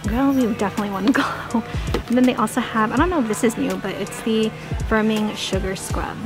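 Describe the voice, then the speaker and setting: A woman's voice: fairly high pitch, brisk, music in the background. A young woman vlogger chatting casually about beauty products she bought, upbeat and gushing, recorded at her desk at home. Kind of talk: casual haul chat. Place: home filming room with vanity and desk.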